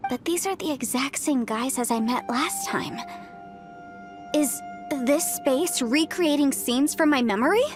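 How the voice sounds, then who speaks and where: A woman voicing a bright, confident young legal adviser and fighter in a video game, chatty and theatrical. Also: high-pitched, measured pace. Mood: breezy, confident. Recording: game music behind the voice.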